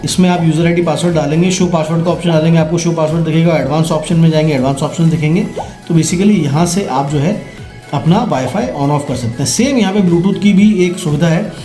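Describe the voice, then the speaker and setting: Higher-voiced Indian man, echoey product demo. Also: a little fast, mellow. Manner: explanatory, checks in with listeners using tag questions. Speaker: product demonstrator. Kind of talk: casual instructional product demo. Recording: roomy echo, faint music behind.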